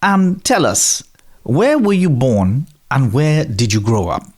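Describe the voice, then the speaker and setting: A man's voice: mid-range voice, very animated, slow. A man hosting a conversational interview, introducing his guest and prompting with questions. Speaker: interviewer, host.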